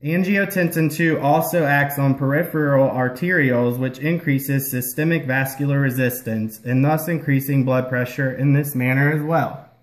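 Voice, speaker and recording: A man's voice: mid-range voice, fairly even intonation, moderate pace, lightly acted. Man playing instructive puppet clinician, echoey room. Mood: explanatory. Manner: explanatory, instructional. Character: patient, instructive male health-care puppet explaining medication. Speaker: health educator explaining a prescription. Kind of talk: scripted educational puppet-show dialogue. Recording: roomy echo.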